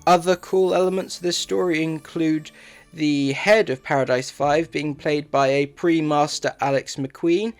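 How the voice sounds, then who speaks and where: A man's voice: a little high, steady tone, a little slow, soft background music. A man giving a scripted review narration as a reviewer.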